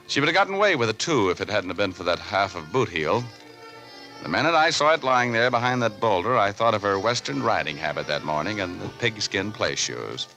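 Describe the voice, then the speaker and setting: A man's voice: fairly low pitch, bright, forceful tone, exaggerated voice, rough recording. A wry, easygoing private eye from a 1940s radio mystery. He talks colloquially and tough, with widely swinging intonation. Music plays behind him on an old radio recording. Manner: colloquial, wry, hesitant lead-ins. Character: wisecracking private detective, tough, easygoing, self-amused. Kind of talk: 1940s radio detective acting and narration.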